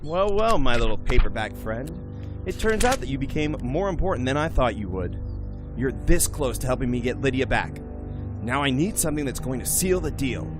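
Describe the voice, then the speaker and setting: A charming, eager young man home from service, played by an amateur actor. His medium voice is lively and varied, in an audio drama style with music behind it. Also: mid-range voice, normal speed, natural voice. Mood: musing, scheming. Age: 20s. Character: charming, eager young returned war hero. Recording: background music under dialogue.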